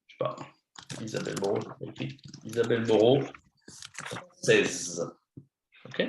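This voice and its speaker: A man teaching French on a video call to a beginner, switching between English and French and guiding slowly and patiently. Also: on the low side, gravelly, roomy sound, background noise. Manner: patient, slow, coaching a beginner. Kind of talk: online lesson, mixing English and French.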